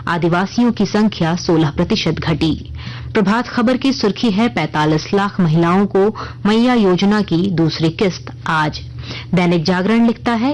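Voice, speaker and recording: Female news reader, lower-set voice, formal and measured bulletin delivery. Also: a little mellow, low-quality recording. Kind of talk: scripted radio news bulletin reading.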